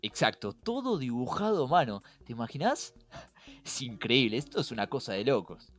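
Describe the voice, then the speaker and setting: Animated Argentine man explaining on a podcast. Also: mid-range voice, moderate pace, faint music behind. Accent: Rioplatense Argentine. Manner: rhetorical questions to co-host and listener. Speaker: podcast co-host.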